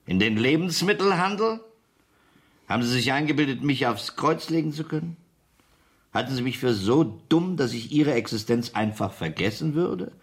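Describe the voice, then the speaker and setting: An actor playing a menacing, domineering gangster who gives curt orders and mocking taunts in a radio crime drama. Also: male voice, medium pitch, lively intonation, moderate pace, natural voice. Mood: menacing, sarcastic.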